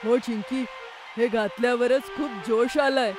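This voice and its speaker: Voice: mid-range voice, steady tone, measured pace, music in the background, lightly acted. An actor voicing an eager, earnest schoolboy team captain in a children's cartoon. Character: Gattu, keen schoolboy football team captain.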